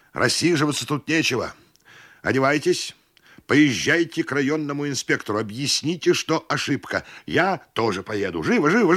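Man acting an exasperated, high-pitched, blustering householder. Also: a bit bright, dry recording, studio quality, heavily acted. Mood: sarcastic, urgent. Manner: ranting, melodramatic, drawn-out exclamations.

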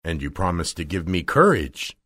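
A man's voice: sits low, very animated, moderate pace, fairly bright tone, voice pushed down, heavily acted. A man voicing a cowardly lion, a timid beast longing for courage, in a children's storybook. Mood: hopeful.

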